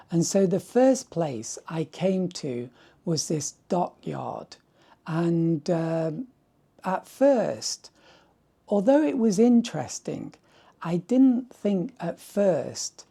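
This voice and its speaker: A man with a dry, hoarse voice, musing aloud about his painting trip in an unhurried, thoughtful way. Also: on the high side, dark tone. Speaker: painter presenting his work. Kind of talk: casual video talk.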